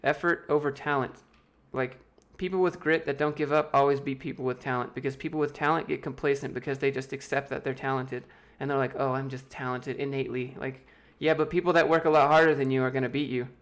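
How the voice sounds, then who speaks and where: An American man chats through viewer questions on a live stream from a room at home, speaking into a desk mic. His delivery is loose and offhand, with quick jokes between answers. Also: mid-range voice, level tone. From United States. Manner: loose, joking, reads chat questions aloud and answers offhand. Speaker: YouTube host answering chat. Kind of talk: casual livestream Q&A.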